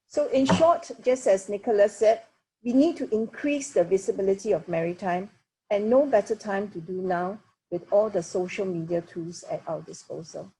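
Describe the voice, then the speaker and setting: A woman from Singapore, a shipping industry leader speaking deliberately on a video-call panel. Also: medium pitch, warm tone, a little hiss or hum, low-quality recording. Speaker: shipping association president, panelist. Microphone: laptop webcam mic, video call.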